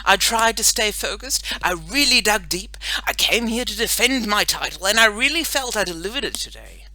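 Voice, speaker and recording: A woman voices a boastful little schoolgirl from a storybook. Her voice is raised in pitch and very bright, with a chatty, self-important delivery. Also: expressive, moderate pace, dry recording, rough recording. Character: self-assured, boastful little girl dreaming of sporting fame. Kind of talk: children's audiobook character voice.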